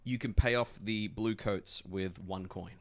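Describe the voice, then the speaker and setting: Low-pitched man as halting game master. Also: steady tone. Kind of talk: running a tabletop roleplaying game.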